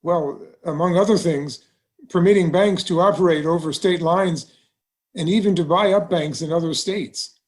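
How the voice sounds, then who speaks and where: Man acting a genial bank president, higher-set voice. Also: normal speed, darkish tone, lightly acted. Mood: thoughtful, cautious. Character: genial, seasoned small-town bank president. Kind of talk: musical theatre table read.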